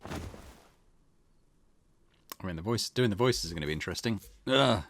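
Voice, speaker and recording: A man's voice: on the low side, lively intonation, normal speed, vocal fry, a bit bright, no echo. A man giving casual let's play commentary on a game, musing and thinking aloud. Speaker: gaming channel host. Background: game music.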